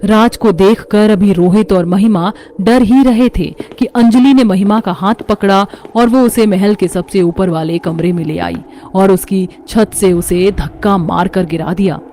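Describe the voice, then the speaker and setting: A woman's voice: mid-range voice, unhurried, hardly any pauses, warm tone, slight background noise. A woman reading a scripted horror story as its narrator.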